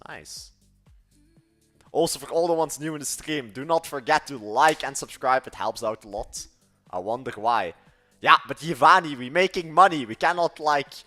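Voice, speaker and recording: A man's voice: mid-range voice, sharp tone. A young man with a Dutch accent chatting casually on a livestream, rambling and correcting himself as he goes. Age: young adult. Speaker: livestream host.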